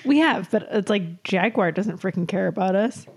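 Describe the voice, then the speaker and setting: Husky young woman bantering on a podcast. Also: mid-range voice, lively intonation, moderate pace. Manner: dry, teasing, interjecting. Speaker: podcast co-host and writer. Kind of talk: casual comedic banter.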